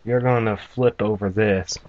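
A man's voice: fairly low pitch, fairly even intonation, measured pace, darkish tone, dull audio. A man giving casual, sweary gameplay commentary, chatting to viewers as folks in an informal way. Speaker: gaming video host. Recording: amateur camera filming a TV screen. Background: video game music.

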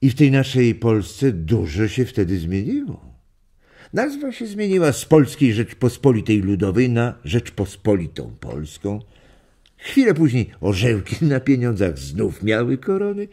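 An actor playing a playful, teasing old grandfather in a children's audio drama, telling stories with theatrical, drawn-out emphasis. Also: mid-range voice, wildly swooping pitch, dark tone, husky, heavily acted. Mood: nostalgic.

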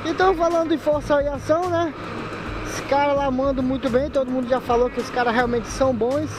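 A man's voice: very high-pitched, fairly even intonation, fairly quick, noisy background. Laid-back motovlogger man riding. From Manaus, Brazil. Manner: chatty, laid-back slang, frequent laughs. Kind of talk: casual motorcycle vlog commentary. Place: riding a motorcycle on city streets outdoors.

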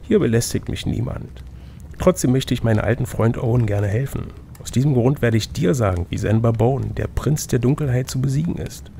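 Gamebook role: tired reclusive old wizard, male reader, calm and measured, gravelly, slight background noise. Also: medium pitch, close to an everyday voice. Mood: weary, calm. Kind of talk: gamebook read-aloud.

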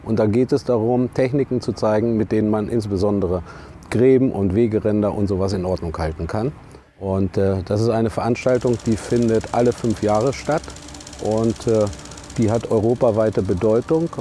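Older male interviewee, lowish and very mellow, measured explainer, faint outdoor noise. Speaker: agricultural chamber spokesman being interviewed. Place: outdoors at a machinery fair field. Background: faint vehicle and outdoor noise.

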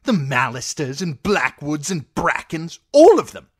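A man voicing a spoiled, cruel teenage boy king who whines and shouts his demands. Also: big pitch swings, measured pace, no room sound, clean studio sound. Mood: demanding. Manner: shrill, whiny, shouting demands.

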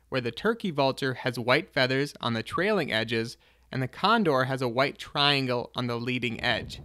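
A man's voice: medium pitch, pushed and bright, no echo. A man in his twenties, a travel vlogger narrating and chatting casually about a hike. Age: 20s. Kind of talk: hiking vlog narration and casual chat.

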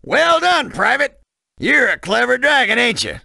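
A man voicing a brash, gruff, commanding military instructor in a video game. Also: extremely high pitch, big pitch swings, unhurried, sharp tone, no room sound, studio quality, full character voice. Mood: gruff, approving. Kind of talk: video game character dialogue.

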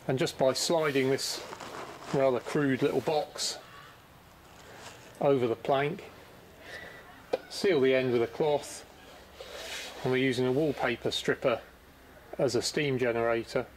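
Middle-aged male instructor, easygoing and practical, recorded in a workshop with a faint background hum. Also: medium pitch. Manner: easygoing, practical explaining with restarts. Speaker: boatbuilding instructor. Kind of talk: hands-on workshop demonstration. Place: boatbuilding workshop indoors.

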